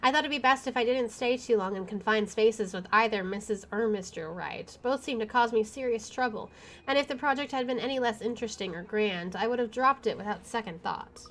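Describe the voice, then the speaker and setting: High-voiced woman reading a game aloud. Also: a little fast, fairly bright tone. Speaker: video game streamer. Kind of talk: let's play, reading visual novel narration aloud with casual asides.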